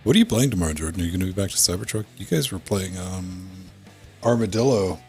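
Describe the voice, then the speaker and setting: Low-voiced man with very animated, swooping pitch. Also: fast talker, soft background music. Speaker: podcast host. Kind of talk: casual rambling chat.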